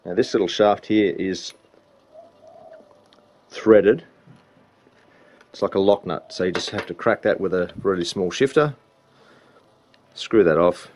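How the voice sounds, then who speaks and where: A man's voice: sits low, measured pace, long pauses, a little mellow, slight background noise. A man giving an informal, step-by-step repair walkthrough at a workbench. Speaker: repair hobbyist demonstrating a belt change. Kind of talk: casual hands-on repair walkthrough.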